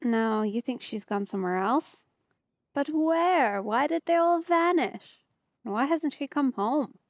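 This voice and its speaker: A woman's voice: high-pitched, animated, a little slow, no echo, muffled recording. A woman giving casual live commentary as she plays a video game. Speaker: streamer, host of a game let's play. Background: video game music.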